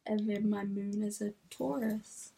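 A woman's voice: mid-range voice, steady tone, unhurried, creaky, warm tone, slight background noise. A woman in her twenties from Ethiopia who grew up in New Zealand, telling childhood stories in a casual, giggly chat full of fillers. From Ethiopia, raised in New Zealand. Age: 20s. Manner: chatty, giggly storytelling with many fillers. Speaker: interviewee.

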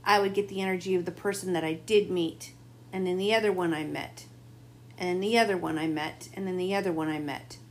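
Woman, tarot reader, slightly raspy, rambling late-night monologue, faint hum behind. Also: on the low side, steady tone, a little fast. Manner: rambling, confiding.

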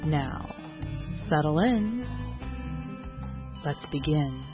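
A woman's voice: sits low, animated, very slow, phone-quality sound, over music. A woman hosting a podcast, reading scripted introductions and short biographies. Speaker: podcast host and editor introducing poetry.

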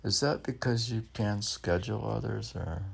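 A man in his sixties rambling alone between lines of his own poetry, halting, questioning himself and repeating phrases. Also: fairly low pitch, creaky, husky. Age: 60s. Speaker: poet reading own work and commenting. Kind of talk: rambling solo monologue mixed with poem reading.

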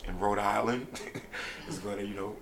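A man from Brooklyn, a rapper as a guest, talking casually in a laid-back, meandering way with many pauses. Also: lowish voice, steady tone, gravelly, background noise. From Brooklyn, New York. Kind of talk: casual interview chat.

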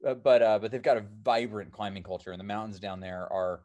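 A man's voice: lowish voice, brisk, darkish tone, highs cut off. A man in his thirties with an American accent, born in New Zealand and raised in Seattle, answering interview questions casually with many fillers. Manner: rambling, many fillers like "um" and "you know". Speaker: alpine climber and filmmaker, guest.

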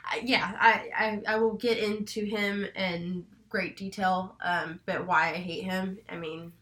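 A woman's voice: mid-range voice, steady tone, a little slow, fairly bright tone. Sniffly young woman, chatty podcast host. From North Carolina, US. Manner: chatty, rambling, self-deprecating. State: stuffy with a cold. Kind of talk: casual true crime podcast chat.

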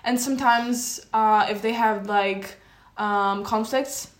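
A woman's voice: mid-range voice, steady tone, slow pace. A teenage girl from Poland co-hosting a podcast, talking casually and hesitantly with many fillers and restarts. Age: teens. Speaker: podcast co-host. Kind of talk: casual chat. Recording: echoey room.

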